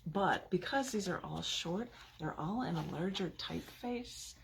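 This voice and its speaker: A woman poet with a husky, gravelly voice, reading her work theatrically. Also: on the low side, animated, unhurried, slight background noise. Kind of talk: dramatic poetry reading with casual asides.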